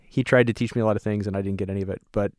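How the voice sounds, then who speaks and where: Quick-talking man hosting a podcast. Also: on the low side, steady tone, a little mellow, dry recording, studio quality. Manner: friendly, joking questions. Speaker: pastor, podcast host. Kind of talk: podcast interview.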